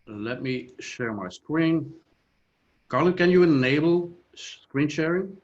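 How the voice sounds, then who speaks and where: A man from Flanders with a Flemish accent, whose first language is Dutch, giving a measured, explanatory online conference presentation over a video call. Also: fairly high pitch, expressive, a little mellow, muffled recording. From Flanders, Belgium. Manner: measured, explanatory, trails off with "et cetera". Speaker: software company founder presenting.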